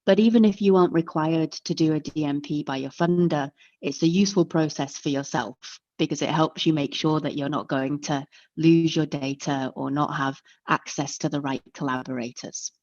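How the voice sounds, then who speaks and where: A woman with a low, deep voice gives an online lecture and explains each point step by step in a friendly way. Her sound is dull and lo-fi, like video call audio. It is close and dry, with no room sound. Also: steady tone, moderate pace, a little mellow, dry recording. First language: English. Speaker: webinar presenter.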